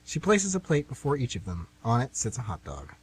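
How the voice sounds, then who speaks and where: A man's voice: medium pitch, huge pitch swings, brisk, slightly rough. A man reading scripted narration as the narrator of a radio play.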